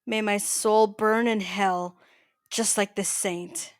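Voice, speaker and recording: A woman playing an older mafia boss in an ASMR roleplay, soft-spoken, possessive and quietly menacing, with a halting, intimate delivery. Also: mid-range voice, steady tone, clear, resonant tone, dry recording, clean studio sound, close to an everyday voice. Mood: solemn, commanding. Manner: halting, stammering, intimate address to the listener.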